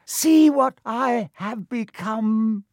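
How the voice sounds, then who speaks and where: A cold, evil dark wizard for a storybook. The voice sits high above a natural register, hissing and sometimes whispery. Every word is drawn out slowly with menace. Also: no echo, clean studio sound. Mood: sinister, menacing. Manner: slow, drawn-out, menacing delivery.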